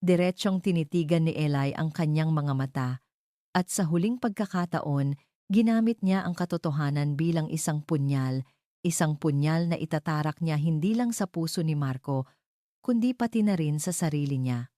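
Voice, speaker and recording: A woman narrating a scripted love story in a deliberate, melodramatic way. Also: low voice, warm tone, no room sound, studio quality.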